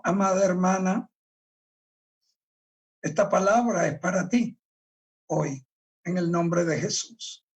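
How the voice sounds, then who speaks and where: A male pastor preaching a sermon, reading scripture aloud and explaining it with deliberate emphasis on key words. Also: high voice, recorded from a distance, low-quality recording. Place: church.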